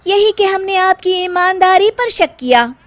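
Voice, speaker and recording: A woman voicing a cheerful, cheeky young daughter-in-law in a story, replying in sing-song rhymes with drawn-out endings. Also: extremely high pitch, animated, pitched above the performer's own voice. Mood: brisk, cheeky.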